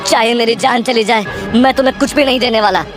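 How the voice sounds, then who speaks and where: A woman's voice: a little high, fast, music in the background, pitched below the performer's own voice, lightly acted. A woman voicing a stingy, stubborn young village man in a cartoon, whiny and protesting in manner. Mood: defiant. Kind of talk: exaggerated Hindi cartoon acting.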